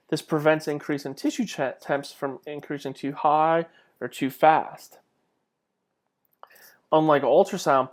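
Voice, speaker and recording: A man's voice: fairly high pitch, a little mellow. A man delivering an informal narrated slide lecture as a college instructor, conversational and emphatic on key points, often posing questions to his students. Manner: conversational, asks rhetorical questions.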